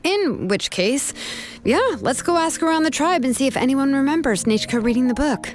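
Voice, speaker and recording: A woman's voice: high voice, lively intonation, moderate pace. A woman playing a cool, shrewd and determined young woman in a video game, probing and firm in manner. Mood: reasoning, firm.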